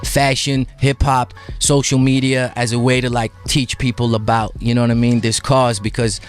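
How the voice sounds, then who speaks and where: A man's voice: mid-range voice, level tone, normal speed, clear, resonant tone, faint music behind. A man speaking as an interview guest in a casual, conversational storytelling way, with informal slangy phrasing. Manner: informal hip-hop slang, frequent "you know what I mean".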